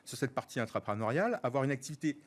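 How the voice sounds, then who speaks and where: A middle-aged male guest with an accent from France speaks at a medium pitch. He explains his views in a structured, measured way, repeating words to stress his points. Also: lively intonation. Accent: French from France. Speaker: interview guest, senior professional. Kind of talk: explanatory interview talk.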